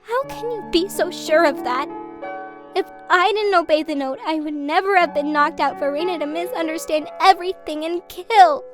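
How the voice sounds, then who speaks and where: A woman playing a timid, fragile, guilt-ridden youngster in an audio drama, with halting, delicate delivery. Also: extremely high pitch, over music. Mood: guilty sorrow.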